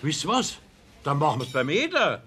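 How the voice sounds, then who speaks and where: A man's voice: a little high, huge pitch swings, normal speed, a bit bright, slight background noise. An actor playing a jovial, teasing craftsman with a Bavarian accent in a radio play, hearty and persuasive. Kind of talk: 1970s radio play acting.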